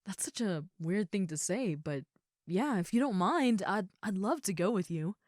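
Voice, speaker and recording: A woman playing a gentle, shy young ogre roommate in an intimate comfort roleplay, talking softly, casually and a little hesitantly to the listener. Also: medium pitch, animated, normal speed, no echo, clean studio sound, close to an everyday voice. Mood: shyly excited. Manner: soft, hesitant, casual, reassuring.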